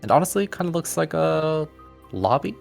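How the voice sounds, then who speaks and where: A man's voice: fairly high pitch, big pitch swings, brisk, vocal fry. A man running a tabletop fantasy game as game master, describing scenes in a conversational way. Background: music.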